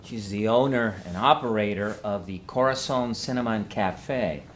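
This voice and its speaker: A man speaks as the host of a local interview, in a conversational style. His pitch is on the low side. The recording is indoors, with ambient noise behind him. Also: normal speed. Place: inside a cafe theater.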